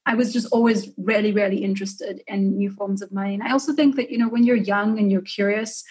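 A woman in her early twenties speaks with a South African accent. She talks casually as a guest in an interview, at an average pitch for a woman. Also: fairly even intonation, fast, a little mellow. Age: early 20s. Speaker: podcast guest.

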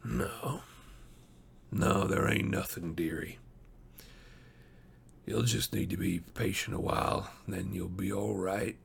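Man acting a dying frontier wanderer, gravelly, weary, gentle, halting rustic speech, storybook character. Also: low voice, steady tone, full character voice. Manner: halting, folksy, uneducated speech.

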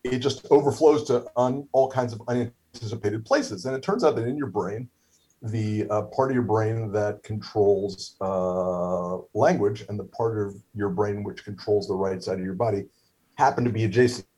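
A man's voice: fairly low pitch, normal speed, mellow, husky. A middle-aged man whose first language is Hebrew, telling a story casually with many fillers, restarts and wry humor. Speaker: guest, stroke survivor.

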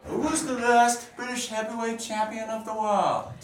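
A woman's voice: on the high side, steady tone, normal speed, close to an everyday voice. A young woman playing a persistent music-hall audience member putting questions in a radio play. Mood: curious. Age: young adult. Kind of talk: radio play crowd acting. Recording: very echoey, distant, loud noise.

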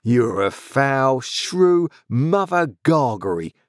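A man voicing a sullen, insolent journeyman in his twenties, growling gruffly in rustic working-class speech for a storybook. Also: mid-range voice, expressive, unhurried, no echo, studio quality, voice pushed up, close to an everyday voice. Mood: menacing, insolent. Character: surly sullen journeyman blacksmith, about twenty-five, resentful and insolent.

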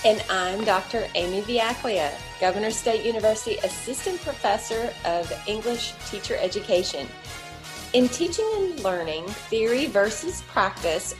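A woman co-hosting an education podcast, opening the show and reading out a guest's introduction in a measured way. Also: medium pitch. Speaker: podcast co-host, English education professor.

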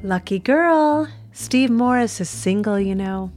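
An actress playing a teasing, gossipy office coworker in an audio drama, with a knowing, playful manner. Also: female voice, medium pitch, lively intonation, a little slow, a little mellow, faint music behind, lightly acted.

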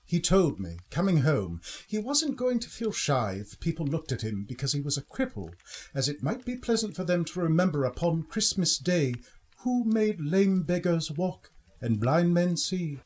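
A man plays a kindly poor father in a radio drama. His delivery swoops widely in pitch, earnest and ceremonious, with gentle pride. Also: very animated, moderate pace. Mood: tender. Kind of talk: radio play acting.

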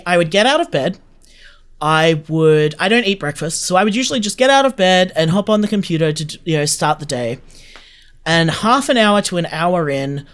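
A man's voice: fairly high pitch, fairly bright tone. A man giving chatty, informal answers as an interview guest. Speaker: interview guest, podcast creator. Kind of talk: casual conversational interview answers.

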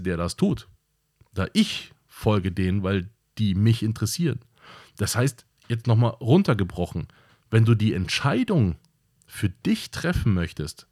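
A man's voice: lowish voice, a little mellow. A man hosting his own podcast, talking in a casual monologue that is blunt and direct and repeats points for emphasis. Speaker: podcast host.

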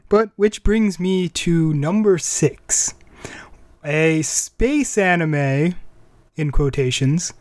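A man's voice: fairly high pitch, dry recording, studio quality. A man hosting a podcast, chatting casually about pop culture. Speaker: podcast host. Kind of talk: casual chat about anime music and games.

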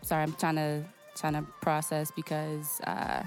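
A woman's voice: deep voice, completely flat delivery, a little slow, soft background music. A young woman from Portugal whose first language is Portuguese, a singer chatting hesitantly as a radio guest with many fillers. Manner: soft-spoken, hesitant, many fillers and laughs. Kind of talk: casual interview chat.

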